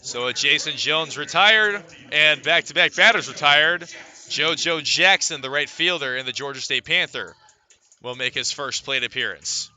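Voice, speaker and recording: An American man calling baseball live as a radio play-by-play announcer, reciting statistics between pitch calls. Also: a little slow, bright, forceful tone. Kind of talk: live sports commentary.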